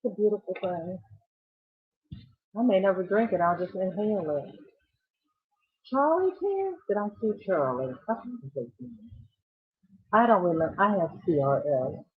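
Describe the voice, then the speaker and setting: A congested woman hosting a casual crochet livestream, chatting warmly and greeting viewers by name as she reads their comments. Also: medium pitch, sing-song, moderate pace, long pauses, slightly rough. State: congested, recovering from a cold. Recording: tinny phone-like sound.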